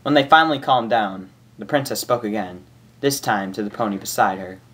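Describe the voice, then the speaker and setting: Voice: medium pitch, brisk, fairly bright tone. An amateur reader and host narrating fan fiction in an informal, emotive way. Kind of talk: amateur story narration with casual reaction.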